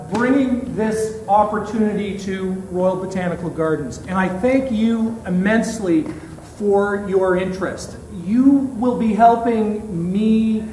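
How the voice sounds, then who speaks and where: Male presenter, informal and halting, high voice, recorded at a distance with faint noise. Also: darkish tone. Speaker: guest speaker, marketing director of botanical gardens. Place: indoor event venue at botanical gardens. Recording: distant mic in echoey room, background noise.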